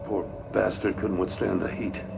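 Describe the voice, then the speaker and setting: An actor playing a wry, worried middle-aged police ally in a video game, sardonic and plain-spoken. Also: male voice, low voice, little pitch movement, normal speed, creaky, background noise.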